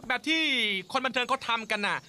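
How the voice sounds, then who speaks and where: A woman voicing a boastful male showman animal, the proud father of a duckling, in a cartoon dub, talking loud and theatrical. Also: fairly high pitch, fast. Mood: showy.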